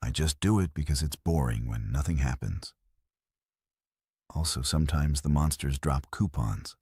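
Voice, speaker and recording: A man playing a bored, blunt, deadpan male hero in an audio story. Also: extremely low pitch, normal speed, slightly rough, no echo, studio quality, full character voice.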